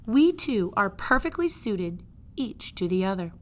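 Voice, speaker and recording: A woman reading a storybook as a suave male rat who flatters with formal, flowery politeness. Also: mid-range voice, a little slow. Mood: coaxing, persuasive.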